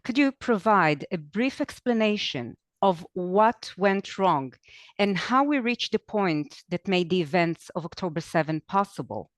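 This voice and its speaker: A woman's voice: on the low side, lively intonation, measured pace, no echo. A woman hosting an interview, reading a formal, scripted introduction and then asking questions. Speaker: host.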